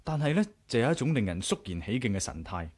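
An actor with a Hong Kong Cantonese accent playing a curious, self-assured adventurer in a radio drama, frank and measured. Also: male voice, mid-range voice, big pitch swings, darkish tone. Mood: intrigued, reflective. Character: curious, bold male adventurer narrating his own tale. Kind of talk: radio drama acting and narration.

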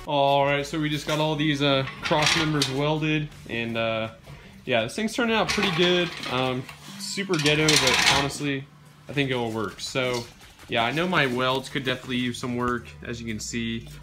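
A man's voice: mid-range voice, moderate pace, loud music behind the voice. A young man casually narrating a DIY build in his home garage, talking with many ums and uhs while he films on a handheld phone. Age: young adult. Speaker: DIY vlogger.

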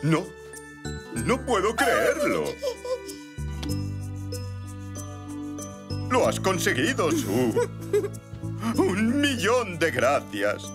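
A man's voice: sits high, wildly swooping pitch, slow pace, heavily acted. A man voicing a fussy, flustered zebra father in a children's cartoon, harried and dramatic. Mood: amazed, relieved. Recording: music behind.

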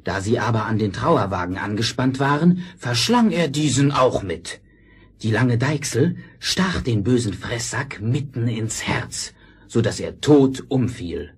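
A man's voice: medium pitch, lively intonation, a little slow, husky. Male fairy-tale narrator, middle register, scripted storytelling for a children's record.

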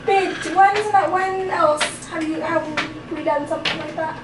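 A woman's voice: extremely high pitch, steady tone, a little slow, noisy background. A young woman in her twenties with a Mexican American accent, chatting in a bubbly, giggly way in a small apartment. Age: 20s. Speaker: vlogger. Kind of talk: casual home vlog chat. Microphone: camera held by someone nearby.

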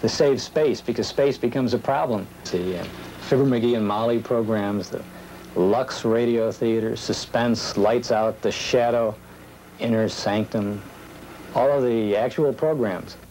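A man's voice: mid-range voice, measured pace, a little mellow, a little hiss or hum, poor audio. An American man in his thirties talking in an interview as a collector, recorded on old videotape. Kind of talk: interview talk. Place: indoor room with tape decks.

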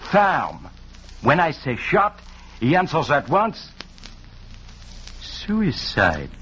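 An actor playing a shrewd, courtly Belgian detective with a French-sounding accent, in emphatic 1940s radio style. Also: male voice, medium pitch, a bit bright, heavily acted. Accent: French-speaking foreign accent, put on. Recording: muffled, noisy 1940s radio recording.

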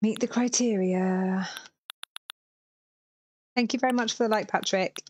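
A woman's voice: medium pitch, steady tone, a little slow, a little hiss or hum. Chatty Welsh-border woman gaming live. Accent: Welsh-border English. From England (Herefordshire). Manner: chatty, rambling, reads chat aloud. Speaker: streamer. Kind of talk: casual live-stream chat while gaming. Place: small room indoors. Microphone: headset.

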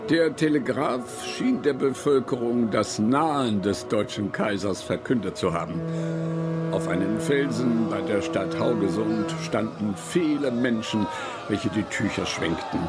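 An actor playing a courtly travel companion of the emperor, reading a memoir formally and with measured delivery in a radio drama. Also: male voice, on the low side.

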